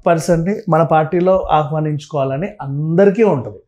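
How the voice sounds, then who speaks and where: A man's voice: a little high, normal speed, a little mellow, dull audio. A man giving opinionated, conversational commentary as a guest, emphatic and repeating phrases, mixing in English words. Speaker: film and political commentator, interview guest.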